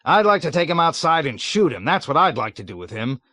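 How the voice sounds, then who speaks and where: A man voicing a petulant, self-righteous male colonel who shouts in high, whiny bursts. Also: big pitch swings, fast talker, no echo. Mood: blustering wrath. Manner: shrill, whiny, shouting outbursts.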